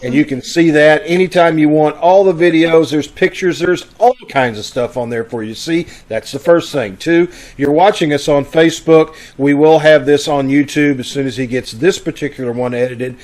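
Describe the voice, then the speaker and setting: Folksy man hosting, slightly high voice. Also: normal speed, hardly any pauses, darkish tone. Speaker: host of a live online radio-troupe broadcast. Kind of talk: casual hosting with announcements. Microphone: video call.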